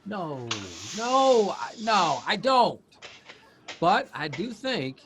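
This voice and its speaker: An actor playing an earnest, worried grown son in a naturalistic audio drama, halting and exasperated but affectionate. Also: male voice, sits high, very animated, fairly bright tone, a little hiss or hum, exaggerated voice. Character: earnest grown son, a newspaper writer, worried about his aging father. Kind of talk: naturalistic audio drama acting at a live reading. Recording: music behind a live stage reading.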